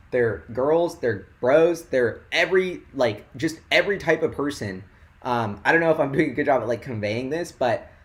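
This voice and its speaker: A young man hosting a casual investing livestream, rambling and excitable with lots of "like", his voice going hoarse. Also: mid-range voice. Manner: rambling and excitable, heavy "like" filler.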